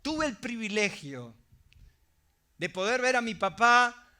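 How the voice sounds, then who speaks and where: A man's voice: extremely high pitch, sing-song, slow pace, bright, forceful tone. A middle-aged man preaching a sermon, emphatic, often asking the congregation rhetorical questions. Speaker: pastor.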